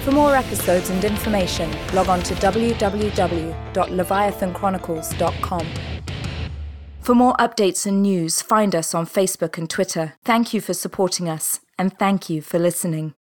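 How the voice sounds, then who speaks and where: A woman's voice: medium pitch, moderate pace, music in the background. A woman announcer reading scripted closing credits for a podcast.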